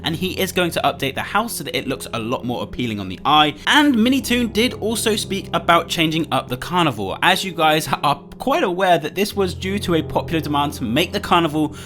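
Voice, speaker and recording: A man's voice: fairly high pitch, very animated, a little fast, few pauses, sharp tone. A young man with a congested, nasal voice from a cold, presenting gaming commentary straight to his viewers. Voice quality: nasal, bunged up. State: congested with a cold, sore throat. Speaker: gaming YouTuber presenting a countdown. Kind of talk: upbeat YouTube gaming commentary. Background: background music.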